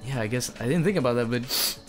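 A man's voice: mid-range voice, fast, fairly bright tone, faint music behind. A man whose first language is Spanish, teasing a friend and explaining a video game in casual chat. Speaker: co-host.

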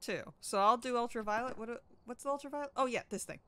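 A woman's voice: a little high, quick pace, bright, forceful tone, clear, resonant tone, no room sound. A young woman with a slightly stuffy voice, chatting and joking playfully while playing a co-op game at home. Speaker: gamer. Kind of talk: casual co-op gaming chat.

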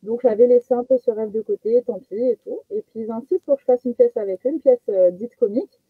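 High husky young woman on phone-like call. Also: lively intonation, quick pace, dry recording. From French Guiana. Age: young adult. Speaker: guest stand-up comedian. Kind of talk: casual chat, telling personal anecdotes. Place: small attic bedroom. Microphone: headset on a video call. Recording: muffled phone-like video call sound.

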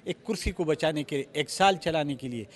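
A man's voice: fairly high pitch, brisk. A man in his fifties with a Pakistani accent, answering an interview in a hesitant, repetitive way. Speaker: interviewed politician. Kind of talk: political interview. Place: outdoors, parliament forecourt. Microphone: handheld interview microphone.

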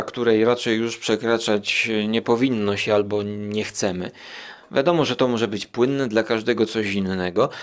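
A man hosting a podcast in a casual, digressive monologue, musing aloud with hesitant pauses and tag questions. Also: medium pitch. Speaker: podcast host.

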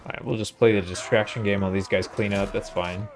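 A man's voice: on the low side, steady tone, fast, faint music behind. A man giving casual gameplay commentary, thinking aloud in a laid-back way as he hosts a playthrough. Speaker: host of a gaming playthrough.

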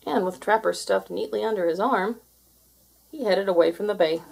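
A woman reading a children's storybook aloud as an expressive, scripted narration. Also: mid-range voice, moderate pace, creaky, husky, poor audio. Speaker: storybook reader.

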